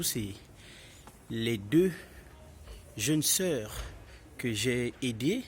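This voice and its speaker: A man with a Congolese French accent casually tells his personal story in an emphatic, repetitive way, addressing listeners as buddies. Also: mid-range voice. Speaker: vlogger telling his own story. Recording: clearly noisy ambient background.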